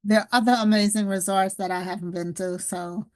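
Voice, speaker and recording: A woman's voice: mid-range voice, steady tone, brisk, soft background music. A woman hosting a podcast over a video call, asking curious open questions and adding her own remarks. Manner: curious, asks open questions, warm aside comments. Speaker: podcast host. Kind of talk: casual interview chat.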